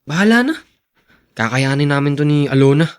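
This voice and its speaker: An actor plays a hot-headed young working man in a radio drama. He is blunt and aggrieved, fairly high in pitch, with lively intonation. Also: a little fast, clean tone, natural voice. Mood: aggrieved, resigned.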